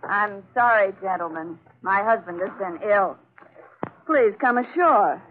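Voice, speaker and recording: An actress playing a cool, world-weary island wife, poised and direct, in 1940s radio adventure style. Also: female voice, mid-range voice, lively intonation, measured pace, lightly acted. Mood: cool, dry. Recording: tinny, narrow 1940s radio recording, faint noise.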